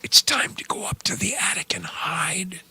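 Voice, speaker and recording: A protective babysitter whispers secretive, urgent warnings to children, played by a male reader. The delivery is hushed and breathy, suited to a spoken ghost story. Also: high voice, moderate pace, voice pushed up, exaggerated voice. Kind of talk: dramatized ghost story reading.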